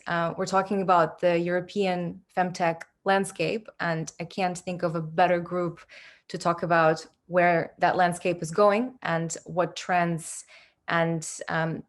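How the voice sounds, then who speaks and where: Enthusiastic woman panel moderator. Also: on the low side. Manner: enthusiastic, friendly.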